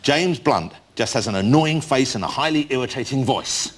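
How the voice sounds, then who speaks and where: A man's voice: medium pitch, animated, moderate pace, breathy edge. A man hosting a chat show in a TV studio, teasing his guest playfully and tripping over his words. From England. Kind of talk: joking late-night chat show interview. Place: TV studio with audience. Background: studio audience laughter.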